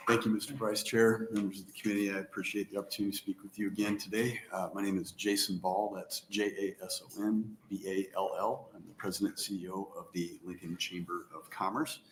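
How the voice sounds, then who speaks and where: Brief: low-voiced man from Nebraska, business leader testifying earnestly, faint rumble behind. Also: flat delivery, low-quality recording. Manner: measured, earnest, frequent uh fillers. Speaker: chamber of commerce president testifying. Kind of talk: formal public testimony. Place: legislative hearing room.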